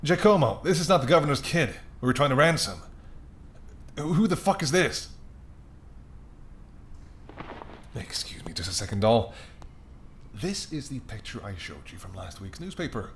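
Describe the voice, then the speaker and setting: A man's voice: mid-range voice, sing-song, normal speed, a little hiss or hum. A man playing an apologetic, wry and courteous male mafia boss in an audio roleplay, speaking conversationally and sardonically straight to the listener. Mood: exasperated. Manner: conversational, sardonic, exasperated, softening into polite charm.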